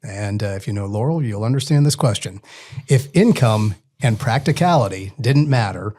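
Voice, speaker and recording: A man's voice: fairly low pitch, expressive, moderate pace, warm tone. A man hosting a casual interview podcast. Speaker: podcast host.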